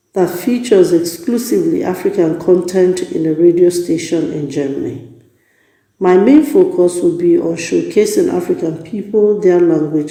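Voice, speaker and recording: A man delivers a formal prepared monologue in a very dark, mellow voice. He phrases things deliberately and slowly, and the room echoes around him. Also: sits high, gravelly. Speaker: guest contributor. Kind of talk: prepared monologue, reading out an answer. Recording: roomy, echoing sound.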